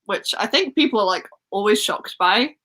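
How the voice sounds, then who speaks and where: A young woman from Wales with a Welsh accent, Welsh her first language, chatting excitedly on a live stream. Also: mid-range voice, a little fast. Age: young adult. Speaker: host. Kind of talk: casual live-stream chat.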